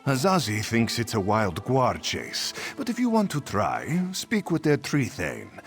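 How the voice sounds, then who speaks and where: An actor playing a put-upon, exasperated cat-folk envoy in a video game, who speaks of himself in the third person. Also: male voice, mid-range voice, huge pitch swings, measured pace. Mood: skeptical, resigned. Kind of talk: video game character acting. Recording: music behind the voice.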